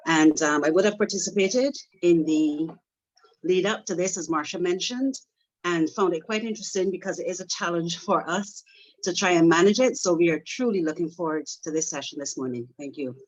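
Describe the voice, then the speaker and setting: Lower-voiced formal woman, slightly muffled. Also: a little fast. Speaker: sales and marketing director. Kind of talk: formal self-introduction at a meeting. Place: remote online meeting. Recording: slightly dull online call audio.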